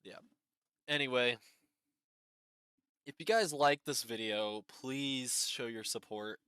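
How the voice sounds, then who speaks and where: A man hosting a reaction video with casual unscripted commentary, halting and repeating his phrases. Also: mid-range voice, pushed and bright, clear, resonant tone, no room sound, clean studio sound.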